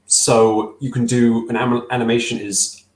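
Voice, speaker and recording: A man's voice: mid-range voice, little pitch movement, normal speed, reverberant, rough recording. A young man on a video call with a headset, answering interview questions casually, thoughtful and hesitant with many fillers and restarts. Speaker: stop-motion filmmaker guest. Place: small room at home.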